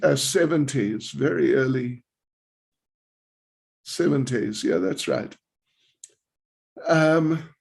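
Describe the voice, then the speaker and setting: A man in his seventies from New Zealand, giving a relaxed spiritual class as a visiting swami, rambling slowly with self-deprecating asides. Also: a little high, vocal fry. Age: 70s. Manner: slow, rambling, self-deprecating with light laughs.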